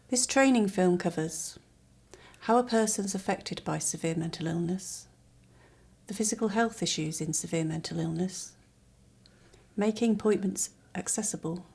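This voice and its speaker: A woman reading formal, scripted instructional narration for a training film at a measured, even tempo. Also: fairly low pitch, vocal fry.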